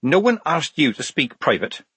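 A man's voice: mid-range voice, sing-song, moderate pace, fairly bright tone, husky, no room sound, pitched above the performer's own voice. A man voicing a plain-spoken young woman soldier with a blunt, dry manner in an audiobook. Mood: sharp.

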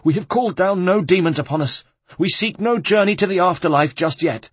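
A man's voice: high voice, fairly quick, breathy edge, dry recording, pitched above the performer's own voice. A man voicing a panicky, pious abbot in an audiobook, with theatrical, wailing exclamations. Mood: pleading, terrified. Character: panicky, pious male abbot, dreading demons.

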